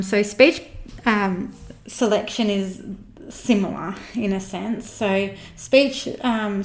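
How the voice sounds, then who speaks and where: A woman educator talks through learning technology in a loose, conversational way. She often pauses on fillers and rephrases as she goes. Her voice sits in a middle range. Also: mid-range voice. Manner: conversational, with frequent fillers and restarts. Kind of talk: informal teaching talk.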